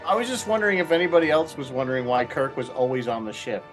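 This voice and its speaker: A man's voice: medium pitch, fast, faint music behind, rough recording. A man chatting casually as a guest on a discussion panel. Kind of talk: casual chat.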